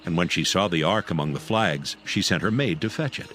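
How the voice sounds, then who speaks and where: A man's voice: deep voice, lively intonation, fairly quick, fairly bright tone. A man narrating scripture as storyteller in a dramatized audio production. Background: music.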